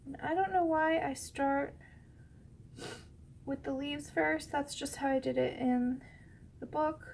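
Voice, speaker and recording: High-voiced woman with a sniffly head cold. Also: fairly even intonation, slow, gravelly, a little hiss or hum. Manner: chatty and rambling, many ums and restarts, drops to soft whispers at times. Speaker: coloring tutorial host. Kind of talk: casual real-time drawing tutorial.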